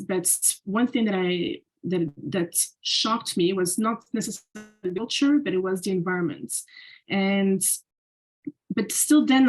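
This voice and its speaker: A woman's voice: medium pitch, low-quality recording. A young woman from Belgium giving a slide presentation as a podcast guest, talking casually with lots of "like" fillers and restarts. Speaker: guest speaker.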